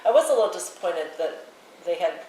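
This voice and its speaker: A woman's voice: fairly low pitch, sing-song, fairly quick, dark tone. Earnest woman host in an echoey room. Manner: earnest, appreciative, wraps up the discussion. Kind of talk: round-table discussion. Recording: very echoey room, mic far off, faint noise.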